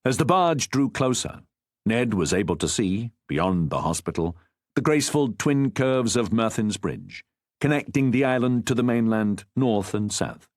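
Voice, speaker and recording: A man narrating a historical novel as an audiobook, with a measured, formal storytelling delivery. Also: mid-range voice, expressive, no room sound, clean studio sound.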